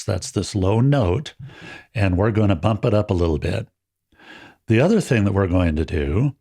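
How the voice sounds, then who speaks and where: Deep-voiced man explaining audio settings. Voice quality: fairly deep voice. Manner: relaxed, chatty explaining with small jokes. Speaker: audio gear tutorial host. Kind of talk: friendly step-by-step tutorial. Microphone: dynamic handheld-style studio mic, no EQ.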